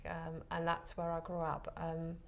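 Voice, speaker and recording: A woman from the Republic, a photographer guest talking in a relaxed interview, soft and hesitant with many pauses. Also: low voice, creaky. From Czech Republic.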